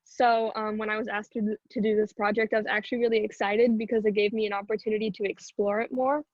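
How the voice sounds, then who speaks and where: A woman's voice: mid-range voice, little pitch movement, quick pace, darkish tone. A young American woman in her late teens chatting casually and informally about herself, with frequent filler words like a student. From Houston, United States. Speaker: singer and narrator being interviewed. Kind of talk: casual chat. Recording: dull, roomy sound.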